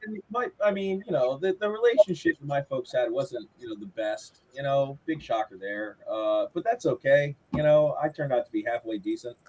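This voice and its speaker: A man's voice: medium pitch, expressive, moderate pace, hardly any pauses, a little mellow, a little hiss or hum, rough recording. A man in his forties from Florida, a podcast guest chatting and telling long rambling stories about his life. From Southwest Florida, United States. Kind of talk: casual chat.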